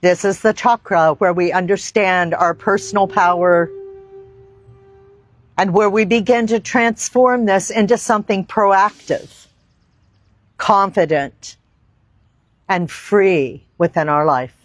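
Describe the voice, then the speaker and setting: A woman teaching yoga, leading a guided meditation in deliberate, reverent phrases with long pauses. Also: medium pitch. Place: outdoor park.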